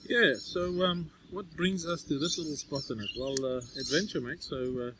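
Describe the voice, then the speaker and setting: A man's voice: fairly high pitch, normal speed. An older man with a South African accent co-hosting a casual chat at a campsite, talking loosely with lots of ums and laughs. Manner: chatty, many ums and uhs, laughs often. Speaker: podcast co-host. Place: outdoors at a campsite. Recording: loud outdoor background noise.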